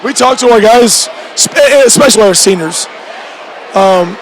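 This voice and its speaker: A man, a high school basketball coach, giving a postgame radio interview with repeated phrases and halting restarts. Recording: noisy gym with loud crowd behind. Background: crowd and clear background noise.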